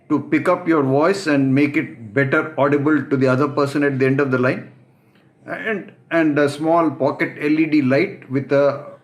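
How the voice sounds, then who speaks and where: A man methodically explaining equipment as the presenter of a tech tutorial. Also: fairly high pitch.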